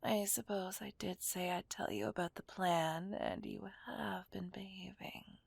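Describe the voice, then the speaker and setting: Woman acting as seductive female villain, slow breathy whisper, teasing, dominant, possessive, ASMR roleplay, close dry mic. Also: lowish voice, fairly even intonation, dry recording, full character voice. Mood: sly, confiding. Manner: teasing, dominant, drawn-out delivery. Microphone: close microphone, dry sound.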